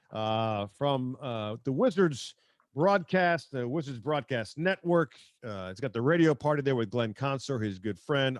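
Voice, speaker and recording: A man's voice: medium pitch, no echo, clean studio sound. A man hosting a podcast in casual, slangy sports chat over a remote video call. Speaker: podcast host.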